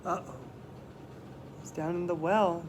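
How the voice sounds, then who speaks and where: High-voiced man reading to children, noisy background. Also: steady tone. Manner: dramatic phrasing, slowing for emphasis. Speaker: children's story reader. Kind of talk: picture-book read-aloud with simple explanatory asides. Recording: clear background noise.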